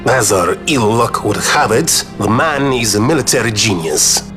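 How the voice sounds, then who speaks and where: Male actor as articulate nobleman, formal, theatrical, very varied intonation, grave fantasy game drama, clear musical score behind. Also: medium pitch, animated, a little slow, music in the background, natural voice. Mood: grave, wry. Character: eloquent adult nobleman giving a grave war briefing. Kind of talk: dramatic video game voice acting.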